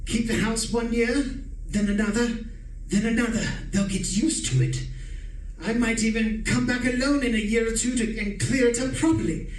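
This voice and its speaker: Man as a calm, gloating wife-killer for a radio play, higher voice, roomy off-mic sound. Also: moderate pace, recorded from a distance, slight background noise. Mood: scheming. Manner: calm, menacing, taunting. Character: cold scheming husband planning murder. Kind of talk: amateur radio drama acting. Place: live stage performance. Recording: roomy stage recording from off mic.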